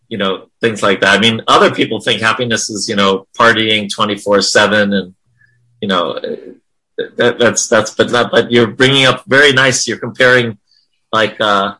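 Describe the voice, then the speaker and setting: A man's voice: on the low side, bright, forceful tone, low-quality recording. A man leading an informal religious class in an encouraging, affirming way. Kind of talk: informal spiritual class discussion.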